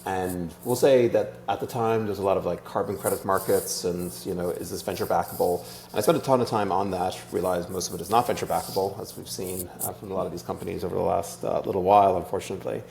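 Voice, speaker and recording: Low, creaky-voiced Irish man. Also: fairly even intonation, fast, darkish tone, a little hiss or hum. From Ireland. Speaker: startup co-founder, interview guest. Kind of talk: casual explanatory interview talk.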